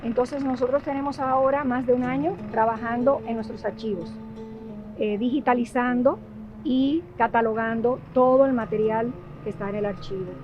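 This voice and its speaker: A Dominican woman with a Dominican Spanish accent, answering in a documentary interview. She speaks thoughtfully and often pauses on filler sounds while she searches for words. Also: a little high, steady tone, highs cut off. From Dominican Republic. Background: background music.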